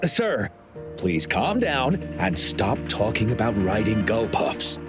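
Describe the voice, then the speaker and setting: A man's voice: fairly low pitch, big pitch swings, takes it slowly. A man voicing a polite, deferential and mildly worried event officer in a video game. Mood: courteous. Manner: polite, explanatory. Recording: music behind the voice. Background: background music.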